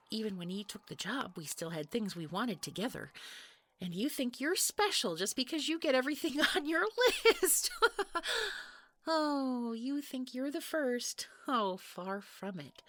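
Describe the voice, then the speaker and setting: Audio roleplay villainess, female performer: jealous, obsessive wife, sweetly menacing, very unhurried delivery, husky near-whisper, taunting. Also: lowish voice, fairly bright tone, exaggerated voice. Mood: wistful, resentful, then unhinged glee. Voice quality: breathy, creaky, often near whisper. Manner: slow, sugary, taunting, breaking into giggles. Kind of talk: intimate scripted audio roleplay acting.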